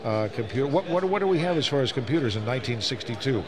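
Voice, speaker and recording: An older man with a medium-pitched voice speaks live on a radio show. He reminisces and explains in a casual, halting way, repeating words for emphasis. A busy crowd is clearly heard behind him. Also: animated. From Northeast Ohio, United States. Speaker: ham radio veteran on a talk show. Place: live broadcast from a busy ham radio convention. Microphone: broadcast microphone. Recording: crowded convention floor, busy background chatter.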